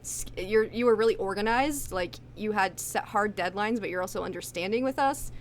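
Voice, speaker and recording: A woman's voice: mid-range voice, moderate pace, a little hiss or hum. A woman speaking as a voice actress in a casual interview, agreeable and supportive in her manner.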